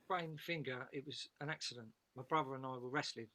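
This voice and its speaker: A man's voice: medium pitch, brisk, bright, forceful tone, echoey room, close to an everyday voice. A man voicing a timid, sullen thirteen-year-old boy in a script read, with terse, defensive delivery. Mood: sullen, guarded. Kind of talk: actor's script table read.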